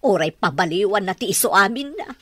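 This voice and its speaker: Fretful mother, husky animated voice. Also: mid-range voice, fairly quick. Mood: exasperated. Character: exasperated older mother of a grown son. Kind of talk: radio drama acting.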